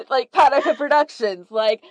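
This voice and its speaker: A woman's voice: sits high, unhurried, no room sound. A woman podcast host talking casually and earnestly in a conversational way. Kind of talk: casual chat.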